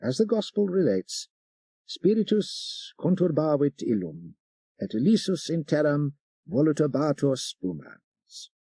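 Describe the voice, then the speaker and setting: A man voicing a fervent French missionary priest telling his tale with emphatic, ironic pauses, as in a dramatic audiobook. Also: on the high side, expressive, mellow, slightly rough, no room sound, studio quality, pitched above the performer's own voice, exaggerated voice. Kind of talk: dramatic first-person storytelling in an audiobook.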